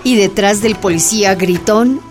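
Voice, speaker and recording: A woman's voice: medium pitch, normal speed, lightly acted. A woman narrating a Spanish-language radio drama as its storyteller. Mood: solemn, urgent. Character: woman narrator recounting a miners' hunger strike. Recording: music and crowd behind the voice.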